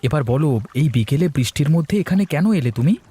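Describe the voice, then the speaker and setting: A man playing a helpful, concerned young villager in a cartoon, earnest and gently chiding. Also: a little high, animated, a little fast, husky.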